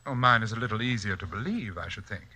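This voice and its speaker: An actor playing a calm, polite, condescending and murderous hypnotist in 1940s radio suspense style. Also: male voice, lowish voice, fast, breathy edge, full character voice. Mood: polite, composed. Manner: soft-spoken, polite, composed, condescending.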